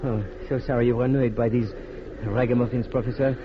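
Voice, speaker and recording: A man's voice: medium pitch, flat delivery, brisk, natural voice. Man playing a suave French-accented government minister. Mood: courteous. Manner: formal, ornately courteous. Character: suave Haitian government minister, courteous, articulate, ardently persuasive. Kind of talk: 1940s-50s radio drama acting. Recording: dull, noisy vintage radio broadcast.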